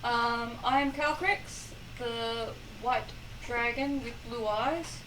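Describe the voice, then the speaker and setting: A woman's voice: fairly high pitch, fairly even intonation, slow pace, fairly bright tone. A woman playing in a tabletop game, trading dry, wry banter with the table. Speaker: player. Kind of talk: casual tabletop game chat. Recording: distant mic with faint hiss.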